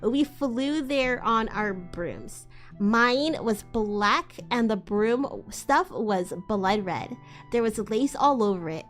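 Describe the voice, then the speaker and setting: Female livestream host, dramatic fanfic narration, higher register, leisurely delivery, soft music bed. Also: slow pace. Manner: theatrical, expressive. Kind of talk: live dramatic reading of fan fiction.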